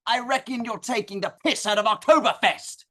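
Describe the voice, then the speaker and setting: A woman's voice: a little high, brisk, bright, forceful tone. A woman voicing a confrontational, rowdy Australian man at a beer festival. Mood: aggrieved. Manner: confrontational, taunting. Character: brash, aggressive Australian man, rowdy drinker.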